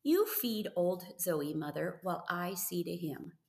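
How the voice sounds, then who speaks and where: An excitable, earnest farm boy of thirteen from a storybook, acted out by a woman reader. He talks eagerly and adoringly, with some creak in the voice, in an echoey room. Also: on the low side, unhurried. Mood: defiant.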